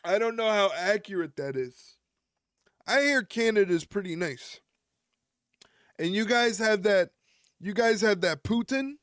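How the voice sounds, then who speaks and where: A man's voice: high-pitched, lively intonation, measured pace, long pauses, fairly bright tone, clean tone, no room sound, studio quality. A man hosting a wrestling live stream, rambling and joking with his chat and often chuckling. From United States.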